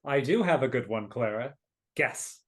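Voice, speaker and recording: A woman playing a cheerful, genial young gentleman hosting a party in a live dramatic reading. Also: extremely low pitch, animated, moderate pace. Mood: playful, teasing. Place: remote video call at home. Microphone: video call microphone.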